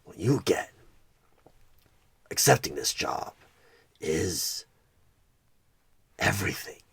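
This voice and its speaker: Sinister devil-as-recruiter for horror ASMR: extremely low, husky and breathy, menacingly persuasive, often sinking into whispers. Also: male voice, sing-song, fairly bright tone, exaggerated voice. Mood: seductive, grandiose. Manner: slow, menacing, persuasive, drawn-out pauses. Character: sinister devilish male tempter recruiting as job interviewer. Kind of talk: horror ASMR one-sided roleplay.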